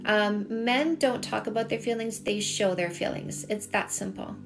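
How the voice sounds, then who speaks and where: A woman's voice: medium pitch, normal speed, creaky. A middle-aged Canadian woman with a Canadian accent, chatting casually on a livestream as a coach, reading and answering comments and repeating points for emphasis. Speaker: dating coach and livestream host. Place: small home room with shelves, indoors. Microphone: phone at arm's length.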